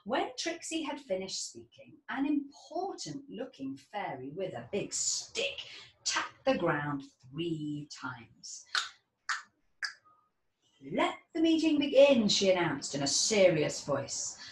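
A woman in her thirties reading a children's storybook aloud in an expressive, deliberate storytelling manner. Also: fairly low pitch. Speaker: storybook reader. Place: small indoor children's playroom. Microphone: camera mic about a metre away. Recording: roomy, distant mic, faint background noise.

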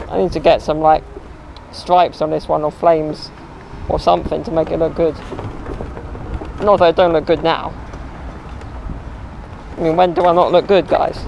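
A young man vlogging outdoors on suburban streets and in a park, chatting casually to a camera mounted low on his wheelchair, with playful boasts. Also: vocal fry, a little mellow, slight background noise, low-quality recording. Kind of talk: casual vlog chat to camera.

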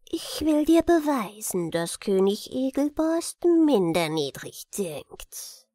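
A haughty gnome king from a storybook, played by a woman, coaxes with false friendliness. The speech is slow and pompous, sliding into breathy whispers. Intonation swoops widely, in a dry, close sound. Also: on the high side, very animated, warm tone, no room sound, full character voice. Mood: coaxing, condescending. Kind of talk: fairy-tale audiobook reading.

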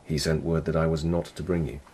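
An actor playing a blunt, defiant, dryly wry palace lieutenant of humble birth in a radio drama. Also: male voice, a bass voice, little pitch movement, brisk, darkish tone, slightly rough. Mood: wry, confiding.

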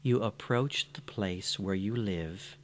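A man with a fairly low voice delivers a scripted guided meditation. His pace is extremely slow, with soothing, gentle phrasing. A faint background hum sits under the recording.

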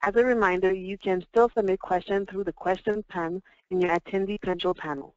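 A woman's voice: mid-range voice, steady tone, brisk, dry recording, dull audio. A woman moderating a webinar, making formal, scripted announcements. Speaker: program manager, webinar moderator.

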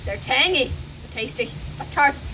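A woman reading a picture book aloud in a theatrical, drawn-out way, outdoors and a little way from the camera's mic. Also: sits high, lively intonation, pushed and bright, a little hiss or hum. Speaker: reader. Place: outdoors on a garden bench.

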